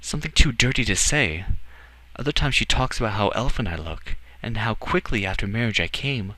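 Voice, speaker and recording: A man's voice: lowish voice, lively intonation, a little fast, bright, forceful tone, dry recording, pitched above the performer's own voice, rough recording. A man voicing an anxious, earnest boy, hesitant yet defiant, in a storybook reading. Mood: hesitant, hurt. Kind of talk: audiobook character acting.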